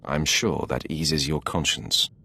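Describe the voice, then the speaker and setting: Deep-voiced, commanding dark lord. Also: male voice, fairly even intonation, creaky, breathy edge, full character voice. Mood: cool, commanding. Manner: authoritative, measured. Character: commanding, self-assured male Sith lord. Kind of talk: video game voice acting.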